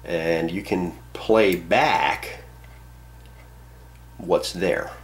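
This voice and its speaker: A man's voice: deep voice, drawn-out words. A man talking casually through a demonstration, explaining plainly step by step. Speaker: tutorial presenter, amateur radio operator. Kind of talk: casual spoken how-to demonstration. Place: indoors at a radio and computer desk.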